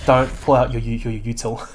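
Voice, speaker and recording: A man working as an esports caster and interviewer, with a slightly croaky voice and an excitable way of talking. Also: medium pitch, normal speed. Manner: excitable, exclaiming at big plays. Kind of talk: live game commentary and post-match interview.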